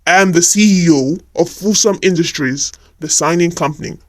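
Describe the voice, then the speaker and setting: Woman voicing a suave, low-pitched young male CEO. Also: normal speed, no room sound, close to an everyday voice. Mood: courteous, charmed. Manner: smooth, courteous, formal. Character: Frank, suave confident wealthy young male CEO in his twenties.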